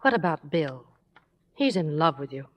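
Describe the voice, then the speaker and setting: A woman acting a genteel, anxious friend in a vintage radio drama, speaking in a polite, measured storytelling way. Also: deep voice, sing-song. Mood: uneasy. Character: genteel, anxious woman friend narrating her guilty scheme.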